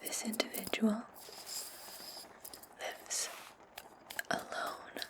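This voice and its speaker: Casting: actress as imperious witch for ASMR fantasy roleplay. Breathy whisper, unhurried deliberate delivery, scheming and condescending. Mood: commanding, sly. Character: imperious, scheming witch giving orders to her servant.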